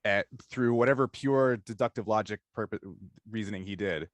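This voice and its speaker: A man's voice: on the low side, moderate pace, dry recording. A man hosting a casual interview, rambling and interrupting himself as he thinks aloud. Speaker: interview host.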